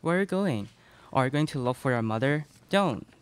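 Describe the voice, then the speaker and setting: A man's voice: medium pitch, animated, fairly quick, clear, resonant tone, close to an everyday voice. A young man playing a protective father and former vampire hunter in a live radio play. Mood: worried. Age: young adult. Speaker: student radio play performer. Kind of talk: live radio theatre acting. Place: small classroom studio. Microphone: stand microphone, close.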